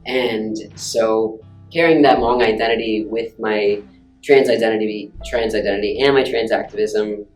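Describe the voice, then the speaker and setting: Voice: mid-range voice, fairly even intonation, moderate pace, darkish tone, roomy sound. A student activist from Alaska, speaking frankly and thoughtfully in a personal interview. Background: faint guitar music.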